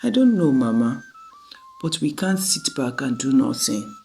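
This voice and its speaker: A storyteller voicing a determined, protective aunt in a story, earnest and deliberate, in short phrases. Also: medium pitch, big pitch swings, creaky, a little mellow, a little hiss or hum. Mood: resolute.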